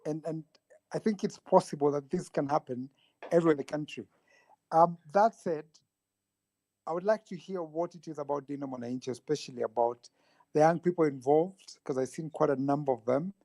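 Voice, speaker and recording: A man joining a political panel as a guest, speaking thoughtfully with pauses. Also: a little high, warm tone, dry recording. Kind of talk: discussion of politics.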